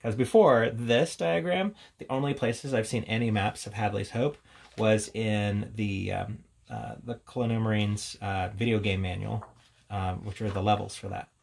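Low, gravelly man reviewing casually. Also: normal speed. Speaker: tabletop game reviewer. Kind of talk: casual unscripted product walkthrough. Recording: steady background noise, handling clicks.

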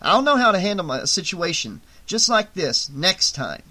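Casting: male reader as anxious meek guy, pitched up, hesitant and pleading, audiobook drama. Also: sing-song, moderate pace, sharp tone, exaggerated voice. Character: timid, nervous man out of his depth.

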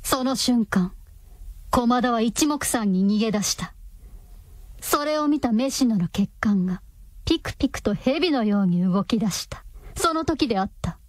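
A woman's voice: fairly high pitch, lively intonation, measured pace, darkish tone, no echo. A woman narrator reading a radio drama in a scripted, dramatic storytelling style.

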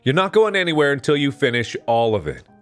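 Man doing a nagging, raised-pitch stern mother. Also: big pitch swings, brisk, faint music behind, close to an everyday voice. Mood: scolding. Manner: nagging, sharp commands. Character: stern scolding mother of two children.

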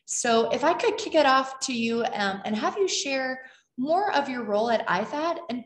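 A woman's voice: high voice, animated, normal speed. A woman in her thirties hosting an online event, introducing a guest and asking questions in a friendly, upbeat way over a video call. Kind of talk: welcoming introduction and interview. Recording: roomy video call audio.

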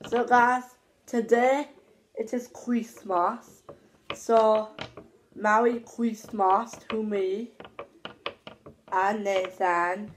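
A man's voice: high voice, fairly even intonation, extremely slow, pauses often, a little hiss or hum. A teenage boy voicing a cheeky 29-year-old Mexican man puppet with a put-on Mexican accent, talking in a home dining room into a laptop camera. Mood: chummy. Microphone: laptop webcam.

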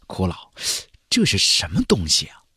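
A man playing an earnest young male cultivator in an audiobook, respectful and measured. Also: sits low, huge pitch swings, bright, forceful tone, slightly rough, no echo, clean studio sound, voice pushed down, full character voice. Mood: curious. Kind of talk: audiobook character voice.

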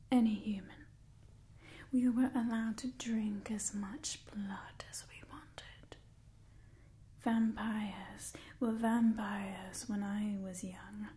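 Woman as a haughty whispering vampire. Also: a little high. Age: young adult. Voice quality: soft breathy whisper. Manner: disdainful, theatrical, weary sighing, slow and deliberate. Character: ancient haughty female vampire clan overseer, bitter and cold. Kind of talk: whispered ASMR roleplay.

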